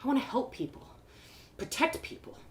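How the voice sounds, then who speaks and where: A woman's voice: moderate pace, lightly acted. A woman voicing an eager, defiant young farm woman who dreams of being a hero, in a dramatic storybook reading. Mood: dreamy, eager. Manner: excitable, dramatic.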